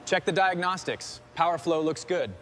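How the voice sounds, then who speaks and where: A man playing a brisk, businesslike restoration technician in scripted team dialogue. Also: fairly high pitch, a bit bright, natural voice.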